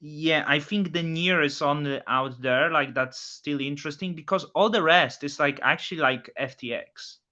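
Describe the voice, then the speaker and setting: A man with a Polish accent hosting a casual chat, speaking haltingly with frequent restarts. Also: on the high side, bright, forceful tone. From Poland. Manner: halting, many restarts, non-native phrasing. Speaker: host. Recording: dull lo-fi audio.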